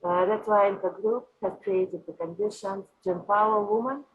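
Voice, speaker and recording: A woman from Russia with a Russian accent, answering interview questions as a company executive, haltingly and with frequent filled pauses. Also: medium pitch, husky, phone-quality sound. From Moscow, Russia. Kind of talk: interview answers on an online panel.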